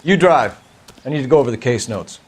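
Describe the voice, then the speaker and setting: An actor playing an earnest, analytical 1940s American police detective in a video game, speaking in a clipped, formal, measured way. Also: male voice, mid-range voice, animated, natural voice. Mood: brisk, analytical. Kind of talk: video game crime drama acting. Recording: music behind the dialogue.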